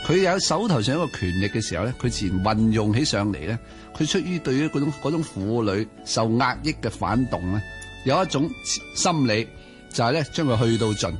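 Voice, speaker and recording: A man's voice: mid-range voice, animated, brisk, faint music behind. A man with a Hong Kong Cantonese accent co-hosting radio literary commentary, reasoning analytically and posing rhetorical questions. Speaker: co-host.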